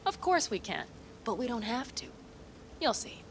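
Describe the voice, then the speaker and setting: A woman's voice: a little high, sing-song, normal speed, slight background noise. An actress voicing a blunt, self-assured female desert nomad in a video game who lays out plans in a dry, matter-of-fact way. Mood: calm, assured. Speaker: game character.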